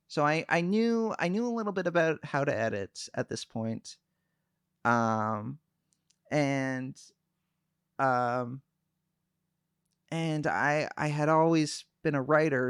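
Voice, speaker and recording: Young guy streamer, calm n hesitant, lots of ums, smooth voice, super dry close sound. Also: medium pitch, no echo. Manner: calm, soft-spoken, hesitant with many fillers. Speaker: VTuber streamer. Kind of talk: casual livestream chat.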